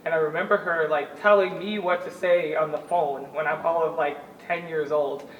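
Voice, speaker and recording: A woman's voice: low-pitched, normal speed, muffled recording. Woman's voice, distant and roomy. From New York. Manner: animated, laughs easily, earnest. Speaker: guest, former Olympic shot putter. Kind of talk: conversational interview storytelling. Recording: roomy, distant mic, faint ambience.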